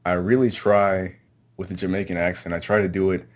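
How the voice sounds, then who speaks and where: A man answering interview questions casually, in a low-key, slightly hesitant way. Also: flat delivery, brisk. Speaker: amateur voice actor, interview guest.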